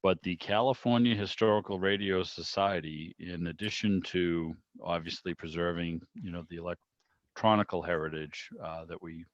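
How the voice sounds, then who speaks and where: A man's voice: sits low, fairly even intonation, normal speed, no room sound. A man hosting casually on a dull, lo-fi video call, chatty and courteous in a conversational way. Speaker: meeting host.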